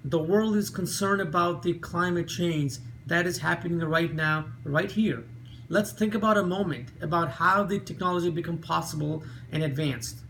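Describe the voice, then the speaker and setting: A man from Nepal with a Nepali accent, reading an earnest talk with careful pauses and small slips. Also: on the high side, creaky, a bit bright, slight background noise. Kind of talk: read-aloud video talk.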